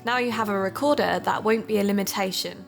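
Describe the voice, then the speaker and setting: A woman playing a keen, sociable amateur investigator and podcaster in an audio drama, talking in a measured, conversational way. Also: mid-range voice, creaky, faint music behind, natural voice. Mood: chatty, keen.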